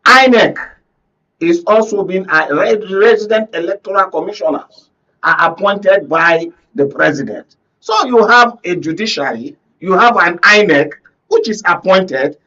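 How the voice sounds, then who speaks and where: A man's voice: sits high, lively intonation, unhurried, bright, forceful tone. A man with a Nigerian accent giving political commentary as a media analyst on a studio panel. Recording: muffled, off-mic studio audio.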